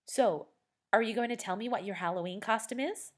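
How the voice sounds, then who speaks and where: A woman's voice: medium pitch, a little fast, voice pushed up, close to an everyday voice. Woman doing a giggly teasing boy. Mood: amused. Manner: teasing, amused, lively. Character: laughing boy friend in an alien costume.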